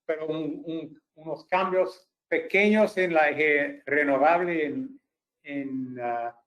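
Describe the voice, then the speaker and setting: A man who is not a native speaker, presenting as a webinar panelist, hesitant and searching for words. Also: medium pitch. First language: not Spanish. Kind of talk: halting expert presentation.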